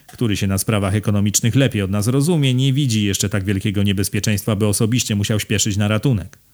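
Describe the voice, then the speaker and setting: A man's voice: lowish voice, fairly even intonation, fast talker, a little mellow, close to an everyday voice. A man voicing a solemn, pompous male governor in an audiobook, speaking sententiously and emphatically. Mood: reassuring. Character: pompous provincial governor, solemn admiring loyalist.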